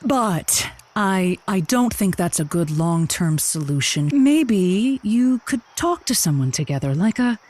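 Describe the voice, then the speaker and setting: A woman's voice: fairly low pitch, very animated, measured pace, hardly any pauses, darkish tone. A woman playing an earnest, sympathetic radio advice show hostess, speaking in a polite, slightly formal, tactful way. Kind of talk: call-in advice show, improvised comedy.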